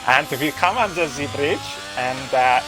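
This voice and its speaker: A man's voice: medium pitch, a little slow, noisy background. A man co-commentating on an online racing broadcast, joking, laughing often and filling gaps with uh. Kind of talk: sim racing commentary, casual banter.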